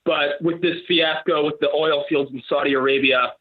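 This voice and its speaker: A man calls in on speakerphone, and the line sounds thin and tinny. His voice sits a little high for a man. He asks his question in an earnest, steady way. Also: moderate pace. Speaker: caller. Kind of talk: casual phone call. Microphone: phone on speaker.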